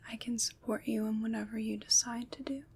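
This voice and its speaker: A woman playing a kind, soothing witch in a gentle, breathy ASMR whisper, pausing between phrases to reassure. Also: on the high side, little pitch movement, heavily acted. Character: kind, soothing witch comforting someone troubled by nightmares. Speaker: ASMR performer. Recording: clear background noise.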